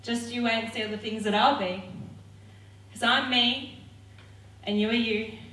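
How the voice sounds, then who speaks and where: A woman's voice: fairly high pitch, fairly even intonation, unhurried. Defiant woman performing spoken word. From Australia. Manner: rhythmic, defiant, forceful delivery. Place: theatre stage. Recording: roomy hall, mic at a distance.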